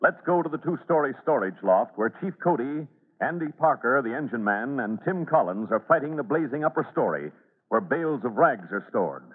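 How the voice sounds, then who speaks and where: A man's voice: medium pitch, expressive, normal speed, husky. A man narrating a scripted radio drama as announcer, emphatic and declamatory in 1940s style. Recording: narrow-band old radio broadcast.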